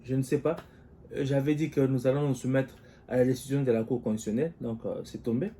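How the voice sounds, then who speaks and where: A man from DR Congo, giving solo political commentary in a measured way, thinking aloud and posing rhetorical questions. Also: mid-range voice, steady tone, dark tone, slight background noise. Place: living in the United States.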